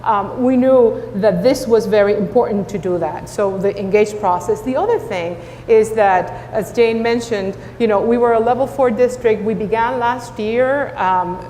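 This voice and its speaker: A woman's voice: mid-range voice, normal speed, mellow. A woman with a Spanish accent, a native Spanish speaker, speaking earnestly on a panel with frequent restarts. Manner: earnest, many filler restarts. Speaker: school superintendent. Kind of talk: panel discussion. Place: conference hall. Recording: echoey hall with faint noise.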